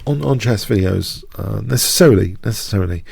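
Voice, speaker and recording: Low, gravelly man with a head cold, hesitant live blitz chess commentary at a desk mic. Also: a little fast, darkish tone, dry recording, studio quality. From not from the United States. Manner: hesitant, stammering restarts. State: congested with a cold. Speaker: chess streamer.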